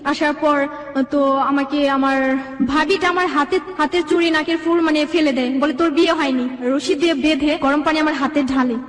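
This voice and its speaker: A young woman with a Bangladeshi accent speaks in a high voice at a quick clip. She recounts her life in long, rambling sentences as a guest being interviewed. The room rings with heavy reverb around her. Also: fairly even intonation. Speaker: guest telling own life story. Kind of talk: personal storytelling in an interview.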